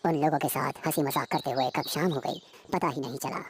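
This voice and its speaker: A woman's voice: rumbling low, fairly quick, a little hiss or hum. A woman reading a scripted horror story as its narrator.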